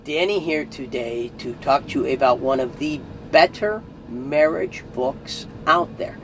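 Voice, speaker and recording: A man's voice: on the high side, expressive, a little slow, vocal fry, slight background noise. A middle-aged man giving a casual, earnest spoken review, chatty and often restarting phrases. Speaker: audiobook reviewer.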